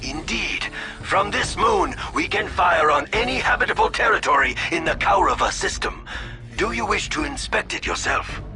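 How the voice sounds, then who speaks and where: A resolute alien military commander with a high voice and wide-swinging intonation. He is formal and dutiful, speaking as in a game cutscene, with loud music behind him. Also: sing-song, moderate pace. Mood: formal, proud. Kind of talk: video game cutscene acting.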